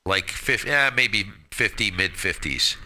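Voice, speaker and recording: A man's voice: on the low side, animated, unhurried, sharp tone, slight background noise. A man of about sixty chatting casually as an amateur radio operator, joking, slangy and self-deprecating. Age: 59, about to turn sixty. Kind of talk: casual radio chat with friends.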